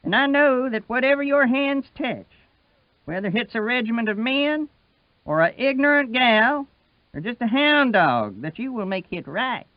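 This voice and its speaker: High-pitched old Southern rustic. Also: male voice, animated, no room sound, dull audio. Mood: devoted, reverent. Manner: rustic dialect speech, patient and slow.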